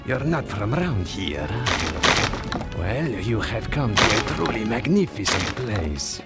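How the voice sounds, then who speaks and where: An actor playing a grandiose guide welcoming strangers to an ancient city in a cartoon game. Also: male voice, fairly low pitch, sing-song, measured pace, loud music behind the voice.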